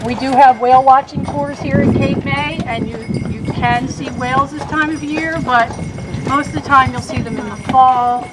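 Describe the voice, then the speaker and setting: A woman giving guided tour commentary from a horse carriage outdoors, with a loud voice and a chatty, joking manner. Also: high voice, fairly even intonation, normal speed, heavy background noise. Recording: background music over the voice.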